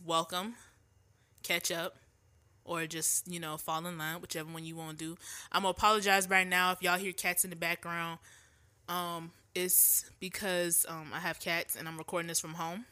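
A woman's voice: on the low side, steady tone, moderate pace, sharp tone. A congested woman hosting a casual podcast from home, chatty and opinionated, with lots of fillers. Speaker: podcast host.

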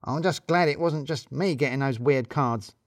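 Guy doing plaintive grumbly coworker, higher pitched, dry recording. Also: normal speed, no echo, natural voice. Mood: sheepish.

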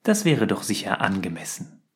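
A man voicing a stern, sneering male professor in a fan audio story, speaking slowly in a hushed, cold way. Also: lowish voice, huge pitch swings.

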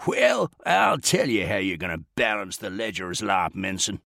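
A man voicing a bellowing, blustering retired American major general in a comic story, with a gravelly roar, an American accent and bossy, folksy exclamations. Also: fairly low pitch, very animated, moderate pace, sharp tone, no room sound, clean studio sound, full character voice. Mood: bossy, commanding. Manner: folksy old-fashioned exclamations, exasperated, bossy drawl.

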